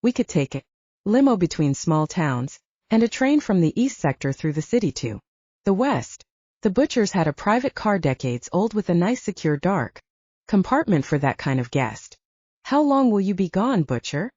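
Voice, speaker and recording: A woman narrating a first-person fantasy audiobook in an expressive, dramatic manner. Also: fairly low pitch, moderate pace, dry recording. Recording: dull dry audiobook recording.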